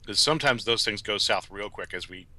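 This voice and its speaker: A man's voice: on the low side, brisk, pushed and bright. A man appearing as a podcast guest, giving casual conversational commentary.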